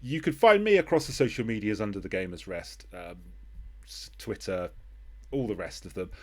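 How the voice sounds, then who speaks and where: Guy hosting a relaxed chat, bit low voiced, really encouraging. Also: moderate pace. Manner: encouraging, appreciative. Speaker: interview host. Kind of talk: casual interview chat.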